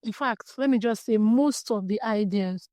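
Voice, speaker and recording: A woman's voice: mid-range voice, normal speed, warm tone, no room sound, studio quality. A woman with a Nigerian English accent hosting a solo podcast, talking earnestly and encouragingly about her path as a creative and her faith. She has a small, light voice. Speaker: podcast host. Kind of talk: reflective solo podcast talk.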